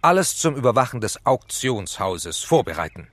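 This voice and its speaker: Clipped, commanding SWAT leader. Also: male voice, mid-range voice, very animated, moderate pace, a bit bright, no echo. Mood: brisk command. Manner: clipped, formal orders. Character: terse, commanding police SWAT team leader, corporal. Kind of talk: German children's audio drama acting.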